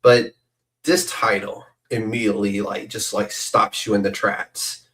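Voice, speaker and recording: Male podcast host, lowish voice, chatty, rambling, excitable. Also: unhurried. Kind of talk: casual podcast interview.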